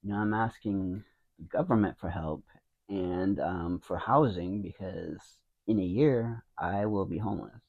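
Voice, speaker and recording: A man in his fifties with a low, quiet voice, who mumbles and rambles with many restarts as he talks to camera. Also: a little slow, narrow, tinny audio. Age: 50s. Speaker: vlogger. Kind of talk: casual talking to camera. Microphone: wireless mic into a phone.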